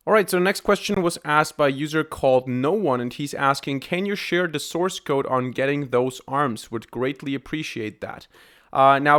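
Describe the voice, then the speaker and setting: A man, a programming YouTuber answering viewer questions to camera in an explaining way, often pausing on "uh". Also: medium pitch, a bit bright. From Austria. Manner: explanatory, frequent "uh" fillers. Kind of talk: Q&A talk to camera.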